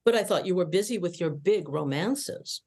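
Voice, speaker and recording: A woman playing a brash, teasing young starship officer with a blunt manner in an animated sci-fi comedy table read. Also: lowish voice, normal speed.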